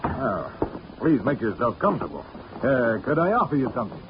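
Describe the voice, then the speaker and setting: An actor playing an earnest, hesitant, formally polite doctor in a 1940s radio drama. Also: male voice, mid-range voice, very animated, a little fast, close to an everyday voice. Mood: polite, relieved. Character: earnest, anxious educated male doctor, worried brother. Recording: muffled, faintly noisy 1940s radio recording.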